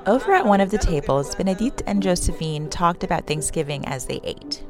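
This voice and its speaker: A woman reporter reads scripted documentary narration. Her voice sits a little low and her delivery is measured and even. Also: over music.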